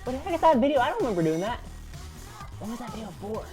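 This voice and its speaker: A man's voice: very high voice, sing-song, racing, a little mellow, soft background music. Male vlog host, casual, self-deprecating, chatty reaction voice. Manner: rambling, self-mocking, frequent laughs and restarts. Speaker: channel host.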